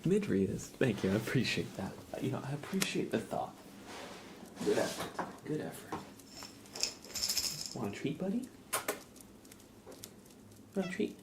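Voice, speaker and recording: A man streaming ASMR, chatting softly and gently in a breathy, often whispered voice, unhurried and informal, close to the microphone. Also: medium pitch, sing-song, heavy background noise. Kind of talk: soft-spoken ASMR livestream chat.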